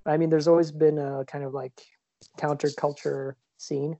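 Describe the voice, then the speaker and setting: A man's voice: fairly high pitch, little pitch movement, normal speed, dark tone, no room sound. A man from Switzerland chatting casually as a podcast co-host, rambling with lots of fillers and restarts. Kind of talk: casual chat. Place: lives in New York.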